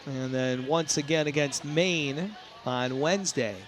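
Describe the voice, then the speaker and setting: A man calling live baseball play-by-play, descriptive between plays and excitable on big ones. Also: a little high, a little slow, background noise. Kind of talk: live sports play-by-play commentary. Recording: crowd and music behind commentary. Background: ballpark crowd, music.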